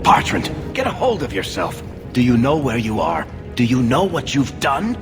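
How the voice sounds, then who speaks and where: Actor as an impassioned dwarf in a vast hall. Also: male voice, mid-range voice, very animated, normal speed, natural voice. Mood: hurt, accusing. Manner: impassioned, rhetorical. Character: indignant dwarven younger brother, bold adventurer. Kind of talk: dramatic video game voice acting. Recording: long hall reverb, game music behind.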